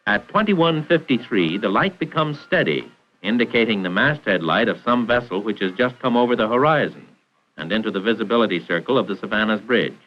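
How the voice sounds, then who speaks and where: A man's voice: on the low side, lively intonation, a little fast, soft background music. An American man reading scripted narration for a 1940s training film in a formal, precise, instructional manner. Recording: dull vintage 1940s film soundtrack.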